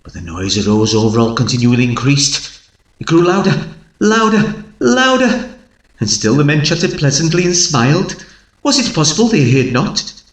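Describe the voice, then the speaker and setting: A man performing a deranged, boastful murderer who confesses in a gothic horror tale, with theatrical intensity and halting emphasis that suddenly swells. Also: fairly high pitch, big pitch swings, roomy sound, voice pushed up, poor audio. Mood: frantic panic. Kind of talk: dramatic gothic horror story reading.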